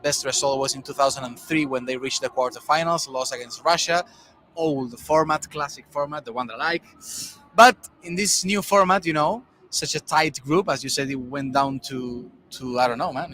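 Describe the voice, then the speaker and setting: A man's voice: medium pitch, moderate pace, a bit bright, slight background noise, low-quality recording. A man, a tennis podcast co-host, talking through match results in casual conversation with many restarts and fillers.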